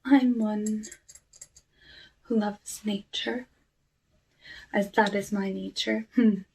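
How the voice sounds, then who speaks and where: A woman playing a cursed outcast witch of the woods in an ASMR roleplay, speaking softly and often whispering, with a wistful, genteel manner. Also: medium pitch, steady tone, vocal fry. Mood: intrigued, musing. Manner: soft, unhurried, wistful, genteel, drawn-out phrasing. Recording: noisy, with clicks and dish clatter.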